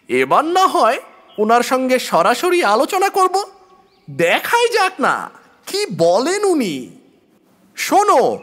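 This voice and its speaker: A man voicing an earnest, insistent male friend who persuades with excited conviction, in an audio horror story. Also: very high voice, in a large hall, voice pushed up, heavily acted.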